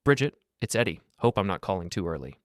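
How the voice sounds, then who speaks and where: A man narrating a story and speaking as a calm betrayed husband, a mechanic who hides what he knows. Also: sits low, quick pace, no room sound, studio quality. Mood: feigned casual calm.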